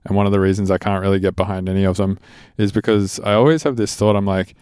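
An American man with a clean, smooth voice on the low side chats casually. His manner is blunt and informal, and the recording is dry. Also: fairly even intonation, fast, darkish tone, dry recording. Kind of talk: casual chat.

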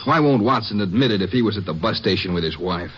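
A man's voice: fairly low pitch, lively intonation, fast, slightly rough. An actor playing an earnest lawman sidekick, folksy and inquisitive, in an old-time radio western. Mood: puzzled, thoughtful. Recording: muffled old radio recording.

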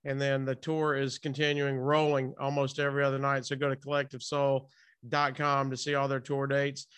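A man's voice: medium pitch, monotone, normal speed, fairly bright tone, smooth. A man hosting a casual interview, chatting in an informal, rambling, buddy-like way. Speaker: interview host.

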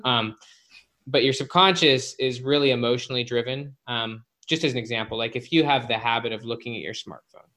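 A man's voice: medium pitch, a bit bright. A man speaking as an expert guest on a casual podcast, explaining things directly to the listener. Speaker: guest, author and organizational psychologist.

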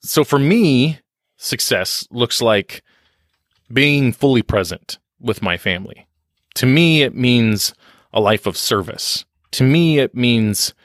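A man's voice: medium pitch, fairly bright tone, no room sound, studio quality. A man talking candidly in a podcast interview, reflective and self-deprecating, often restarting his sentences. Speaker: podcast guest on leadership and success.

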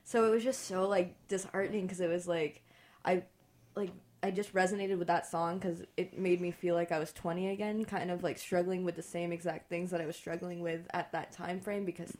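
A woman's voice: lowish voice, little pitch movement, fairly quick, darkish tone. A young adult woman guest on a radio show, talking candidly and sarcastically, rambling with lots of fillers. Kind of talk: casual chat.

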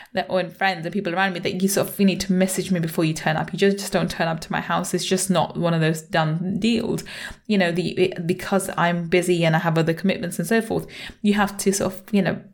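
A middle-aged woman hosting a solo podcast as a coach, talking conversationally and often repeating herself. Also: lowish voice. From England (born in Manchester, grew up in London). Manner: conversational, repeats herself, frequent "you know". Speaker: podcast host, money mindset coach.

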